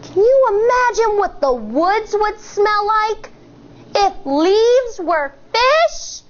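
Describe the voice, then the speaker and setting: An American woman in her thirties, a teacher reading a picture book aloud to children in an expressive, deliberate way. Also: very high voice, lo-fi sound, slight background noise. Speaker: elementary school teacher.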